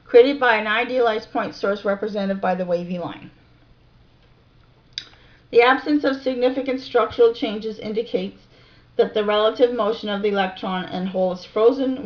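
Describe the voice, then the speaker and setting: Woman reading aloud, dull lo-fi recording. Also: mid-range voice. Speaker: amateur video researcher and narrator. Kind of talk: reading reference text aloud with casual asides.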